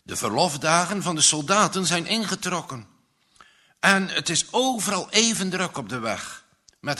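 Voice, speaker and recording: A man reading a storybook as an excited eleven-year-old boy, insistent and urgent in telling his news. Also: fairly high pitch, breathy edge, pitched above the performer's own voice, exaggerated voice. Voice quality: voice cracking. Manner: breathless, insistent, shouting out news.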